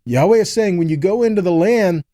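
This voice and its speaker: A man's voice: sits high, animated, fairly quick, mellow, no echo. A man giving a conversational Bible teaching, reading verses aloud and explaining them earnestly, often checking in with short rhetorical questions.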